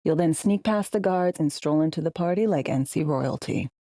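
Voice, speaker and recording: An actress playing a tough, bossy, wry spy handler in a cyberpunk video game, with clipped, slangy, teasing delivery. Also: female voice, low-pitched, normal speed, mellow, dry recording, studio quality, lightly acted. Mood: brisk, wry briefing. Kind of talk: video game voice acting, briefings and radio guidance.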